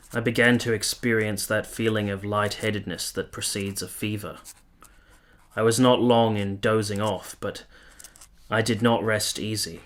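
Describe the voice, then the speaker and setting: A man playing an earnest, gentle soldier in a period radio play, speaking in a measured, literary way. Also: lowish voice. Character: earnest, gentle young soldier narrating his own story. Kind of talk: first-person dramatic narration in a radio play.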